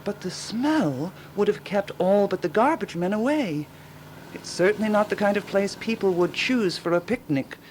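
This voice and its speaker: A woman's voice: medium pitch, animated, normal speed, darkish tone, a little hiss or hum, lightly acted, low-quality recording. An actress playing a fussy, self-important, eccentric woman sleuth in a radio drama, theatrical and given to pondering aloud. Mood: pondering, decisive.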